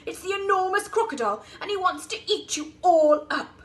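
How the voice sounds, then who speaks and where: A woman's voice: very high voice, expressive, normal speed, voice pushed up. A woman voicing a big, bold, protective male elephant in a children's story, bellowing and commanding. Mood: bellowing alarm.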